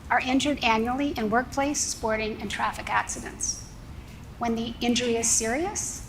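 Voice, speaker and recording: A middle-aged woman from Canada, a scientist pitching her research in a clear, explanatory presentation. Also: a little hiss or hum, rough recording.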